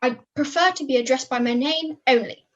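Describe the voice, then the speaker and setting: Young woman as high-voiced fussy badger puppet. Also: a little fast, natural voice. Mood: prim, irritated. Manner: precise, prim. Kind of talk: amateur puppet play dialogue. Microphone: video call. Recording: muffled video call audio.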